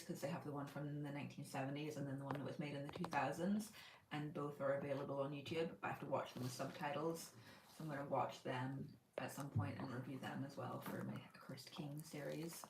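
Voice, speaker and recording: A woman's voice: sits low, fairly even intonation, fairly quick, roomy sound, distant mic, noisy background. A woman from Northern Ireland, raised in Spain, hosting a casual book-channel livestream from home and thinking aloud with plenty of fillers. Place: home room by her bookshelf.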